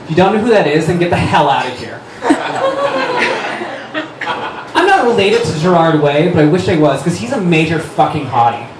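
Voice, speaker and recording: Echoey noisy recording, man putting on a bratty teen girl writer, high, huffy, defiant, gushing. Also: animated, quick pace, a crowd in the background, natural voice. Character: defensive, bratty teenage girl fan fiction author. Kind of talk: dramatic stage reading of author's notes. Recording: noisy, echoey live room recorded from far off.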